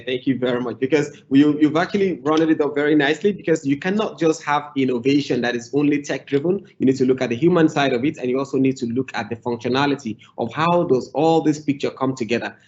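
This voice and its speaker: A man's voice: mid-range voice, fast, few pauses, darkish tone. A man moderates a webinar panel. He gives gracious, effusive thanks and formal introductions. His voice comes through dull, lo-fi video call audio.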